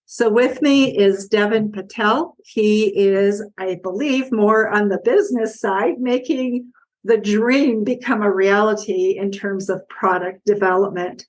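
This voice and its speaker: A woman hosting an interview podcast, introducing her guests in a measured way. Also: mid-range voice, poor audio. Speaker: podcast host.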